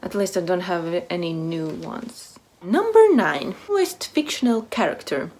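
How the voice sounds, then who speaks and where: A woman book vlogger chatting casually to camera in short, halting phrases that trail off softly. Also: lowish voice, very animated. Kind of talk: casual chat to camera, answering a book tag.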